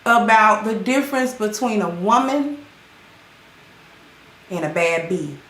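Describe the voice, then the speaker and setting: A woman hosting her channel, chatting casually with viewers and greeting them by name, her voice sounding hoarse. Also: medium pitch, animated, slow pace, fairly bright tone. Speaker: channel host. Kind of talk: casual livestream commentary. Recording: roomy echo with faint music.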